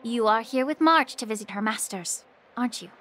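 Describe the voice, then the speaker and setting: A woman playing a shrewd, poised healer in an anime game, polished and gently commanding. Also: on the high side, expressive, brisk, background noise, natural voice. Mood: playful, knowing.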